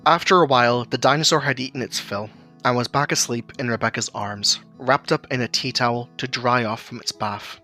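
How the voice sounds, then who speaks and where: Male storyteller for an audio drama, mid-range, bright forward tone, music underneath. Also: mid-range voice, a little fast. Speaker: narrator. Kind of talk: scripted story narration.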